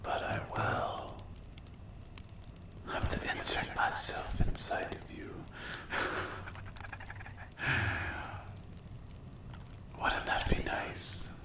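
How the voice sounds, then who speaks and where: A performer voicing a menacing, taunting sleep paralysis demon in a breathy whisper for a dark fantasy ASMR roleplay. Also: roomy sound. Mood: creepy, insinuating. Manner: slow, drawn-out, taunting delivery with rhetorical questions.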